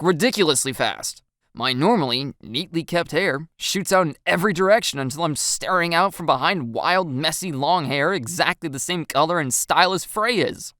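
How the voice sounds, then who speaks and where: Very low-pitched woman narrating a story. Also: a bit bright, no room sound, studio quality. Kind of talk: first-person scripted story narration.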